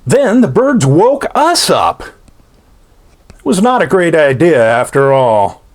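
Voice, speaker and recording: A man reads a children's story aloud at a very slow, deliberate pace. His fairly high voice swings widely in pitch. The delivery is playful and theatrical, with phrases stretched out for young listeners. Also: very animated, a little hiss or hum.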